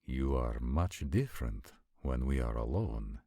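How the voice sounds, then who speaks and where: A man playing an ancient supernatural warrior in a roleplay audio drama, speaking formally and deliberately with concerned, protective gravity. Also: a bass voice, expressive, mellow, no echo, clean studio sound, heavily acted. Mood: curious, puzzled. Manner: formal, measured, stilted diction with deliberate pauses. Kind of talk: scripted roleplay audio acting.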